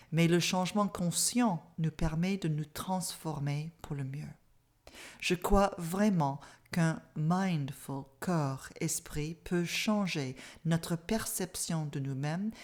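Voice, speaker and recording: A middle-aged Canadian woman whose first language is English speaks with a noticeable English-speaking accent. Her voice sits low, and she explains in a calm, measured, soft-spoken manner, like a mindfulness teacher guiding a class. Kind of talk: online masterclass presentation.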